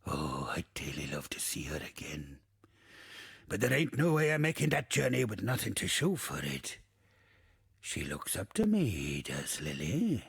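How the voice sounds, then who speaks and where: An actor playing a bawdy, wistful old ghost in a video game, theatrical and drawling, with a gravelly, rasping voice. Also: male voice, low voice, wildly swooping pitch, unhurried, fairly bright tone, no room sound, clean studio sound, full character voice. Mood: wistful, fond. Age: older. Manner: theatrical, salty archaic pirate talk, swooping and drawling. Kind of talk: video game character monologue.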